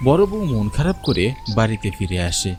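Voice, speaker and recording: Expressive male storyteller. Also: fairly low pitch, brisk, slight background noise. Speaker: story narrator. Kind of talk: scripted fairy-tale narration.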